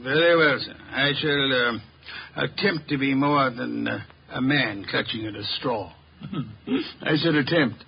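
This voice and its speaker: A grumpy pompous genius detective, husky voice, fancy words, muffled 1950s radio show. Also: male voice, medium pitch, measured pace, heavily acted. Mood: measured, pompous. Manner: pompous, ornate, exasperated speech. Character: pompous, irritable eccentric male genius detective. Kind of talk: 1950s radio drama acting. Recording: muffled vintage radio recording.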